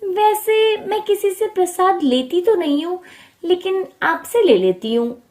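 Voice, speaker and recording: A greedy, scornful village woman from a storybook, played by a female narrator. Her voice is very high and shrill. She nags and dramatizes everything as she schemes. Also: very animated, a little slow, a little mellow, exaggerated voice. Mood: falsely sweet.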